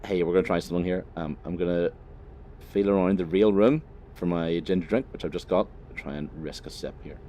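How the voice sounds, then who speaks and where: Deep-voiced Northern Irish man rambling over a game. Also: brisk, warm tone, slight background noise. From Northern Ireland. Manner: rambling, chatty, swears freely, laughs at himself. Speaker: gaming channel host. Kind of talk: casual gameplay commentary.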